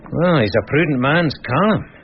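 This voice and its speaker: An actor playing a loyal, matter-of-fact police sergeant who tells things with wry understatement in a radio crime drama. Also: male voice, a little high, expressive, normal speed. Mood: wry gossip. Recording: muffled radio drama, faint noise.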